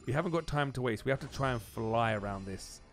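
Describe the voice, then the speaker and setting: A man giving casual, excitable gameplay commentary as a video host, often repeating phrases for emphasis. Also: mid-range voice, quick pace.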